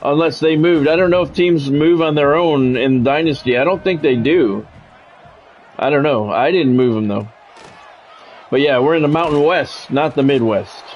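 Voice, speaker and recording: A man's voice: on the high side, moderate pace, lo-fi sound, soft background music. A man livestreaming a video game, giving casual commentary and chatting with viewers in a repetitive, explanatory way.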